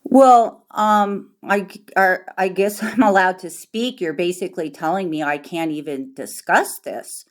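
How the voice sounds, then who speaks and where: A woman's voice: on the low side, unhurried, hardly any pauses. Insistent woman arguing her case. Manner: insistent, repeats phrases for emphasis. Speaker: member of the public requesting records. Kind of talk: phone dispute, argumentative talk.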